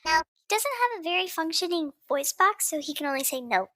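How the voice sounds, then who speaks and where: A woman's voice: very high-pitched, animated, a little fast, pushed and bright, no echo, heavily acted. A woman voicing a polite, scheming rebel droid in a tabletop game, with formal, precise wording. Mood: polite, persuasive.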